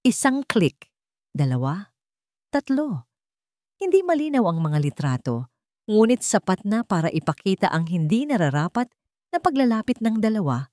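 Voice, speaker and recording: A woman narrating a scripted dramatic story in a measured, theatrical way. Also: mid-range voice, big pitch swings, warm tone, no room sound.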